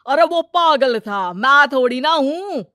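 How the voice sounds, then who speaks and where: A woman's voice: high-pitched, expressive, slow, sharp tone, no room sound, clean studio sound, voice pushed up, full character voice. A woman voicing a greedy, scheming village moneylender, a brusque and bossy husband in a cartoon moral story. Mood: brusque, pushy.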